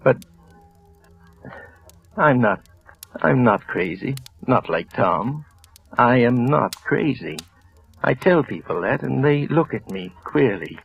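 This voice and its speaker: A man's voice: mid-range voice, vocal fry, husky, exaggerated voice. An actor playing a man locked in an asylum who insists he is not crazy, in 1940s radio drama style. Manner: brooding, whispering, rising into frantic repetition. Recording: dull old radio broadcast.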